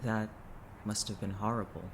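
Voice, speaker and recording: A man playing a dazed, drugged and subdued young man in an audio drama, speaking in a halting, muted way. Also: fairly low pitch, background noise, natural voice. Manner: halting, flat, muted delivery.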